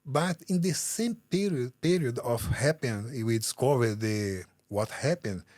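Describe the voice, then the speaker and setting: Halting Brazilian man, searching for words. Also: mid-range voice, a little mellow, no echo. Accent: Brazilian Portuguese. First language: Portuguese. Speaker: guest. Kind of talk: interview answers.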